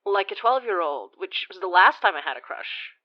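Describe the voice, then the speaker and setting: A muffled, slightly lo-fi recording carries an actress playing an awkward woman in her twenties. She rambles and hesitates in a self-deprecating, confessional way, at a middling pitch, as in a naturalistic audio drama. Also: expressive, natural voice. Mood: embarrassed, defensive. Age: 20s. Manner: halting, sighing, rambling confessional. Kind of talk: naturalistic audio drama acting, phone monologue.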